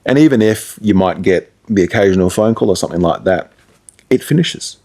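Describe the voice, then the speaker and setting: A man's voice: deep voice, animated, a little fast, dark tone. An Australian man, a radio presenter, chatting casually as an interview guest in a rambling, reflective, anecdotal way. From Perth, Australia.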